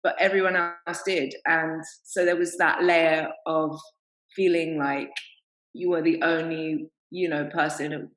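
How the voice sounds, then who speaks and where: A woman with a low voice speaks on a panel, telling candid stories and laughing often. The sound is roomy and echoey. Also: flat delivery, measured pace. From England. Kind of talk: panel discussion.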